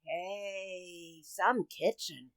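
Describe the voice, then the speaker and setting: A woman's voice: fairly low pitch, drawn-out words, no room sound, studio quality. A woman playing a brash, folksy, bossy housekeeper in a radio sitcom, with blunt, slangy, unschooled grammar. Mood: bossy, impatient. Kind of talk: old-time radio sitcom acting.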